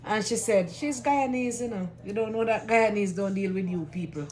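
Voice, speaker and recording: A Jamaican woman slowly recounting her experiences at work and quoting what others said. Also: mid-range voice, a little mellow. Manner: slow, emphatic, quotes others. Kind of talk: storytelling from personal experience.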